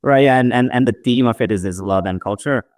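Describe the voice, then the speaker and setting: A man's voice: mid-range voice, fast talker, darkish tone, no echo, studio quality. A man hosting a casual live audio chat, asking upbeat questions with lots of fillers.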